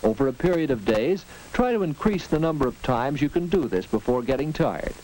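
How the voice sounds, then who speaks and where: 1940s educational film narrator, lively and earnest. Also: male voice, medium pitch, brisk, poor audio. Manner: earnest, instructive, lively delivery. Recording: old film soundtrack with faint hiss and clicks.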